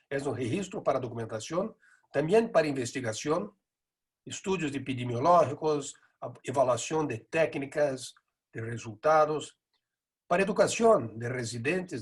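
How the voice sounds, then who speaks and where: Portuguese-accented man lecturing, husky voice. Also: fairly high pitch. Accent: Portuguese-accented Spanish with a Buenos Aires lilt. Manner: measured, explanatory, with frequent hesitations. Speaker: neurosurgery lecturer. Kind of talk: conference lecture.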